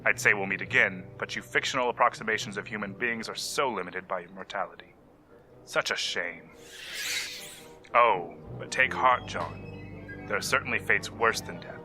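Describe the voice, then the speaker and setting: A man's voice: fairly low pitch, normal speed, bright, forceful tone, soft background music. A man playing a scornful, immortal vampire villain in an audio drama, menacing, condescending and theatrical.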